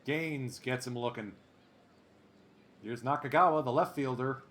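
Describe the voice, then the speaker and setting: A man doing play-by-play commentary over a baseball sim game, excitable and chatty, drifting into asides. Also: mid-range voice, measured pace, a little hiss or hum. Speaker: let's play host.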